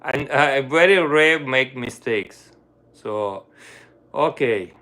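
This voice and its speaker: A middle-aged man speaks English with an Armenian accent, slowly and without hurry. He pauses often with "uh" as he hunts for words, and his grammar slips now and then. His voice sits at an ordinary middle pitch. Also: animated, sharp tone. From Armenia, grew up in the Soviet Union. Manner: searches for words, fillers, broken grammar. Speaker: livestream host, violinist. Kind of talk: casual live-stream chat with viewers.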